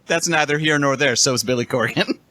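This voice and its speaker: A man's voice: a little high, a little fast, pushed and bright, dry recording. A man on a podcast panel in casual chat, wry and joking, breaking down songs in detail. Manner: wry, joking, analytical about music.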